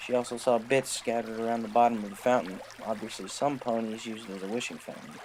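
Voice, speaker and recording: A man's voice: mid-range voice, fairly even intonation, fast talker, background noise. A man reading a scripted story aloud as its narrator.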